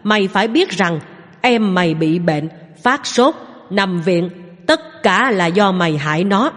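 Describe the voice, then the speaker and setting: A woman voicing a grumpy old grandfather who scolds harshly, her breathy voice carrying a long reverb. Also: medium pitch, animated, a little slow. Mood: irritated scolding. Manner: scolding, gruff.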